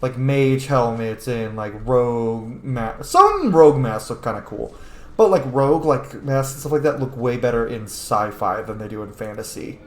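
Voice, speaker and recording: A man's voice: medium pitch, lively intonation, normal speed. A man giving casual, sweary commentary as he plays a video game. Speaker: gaming streamer. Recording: roomy echo, game music behind. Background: video game music.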